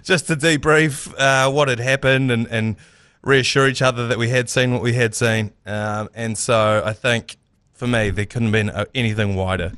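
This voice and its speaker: A man's voice: mid-range voice, steady tone, normal speed, fairly bright tone, smooth, no echo, studio quality. A New Zealand man co-hosting a casual sports podcast, joking and storytelling with frequent laughs. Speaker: podcast co-host, sports commentator.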